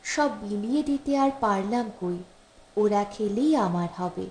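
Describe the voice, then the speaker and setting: A woman's voice: medium pitch, animated, unhurried, darkish tone, lightly acted. A woman voicing a polite, bantering married man visiting as a guest in an audiobook story. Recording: roomy, echoing voice recording.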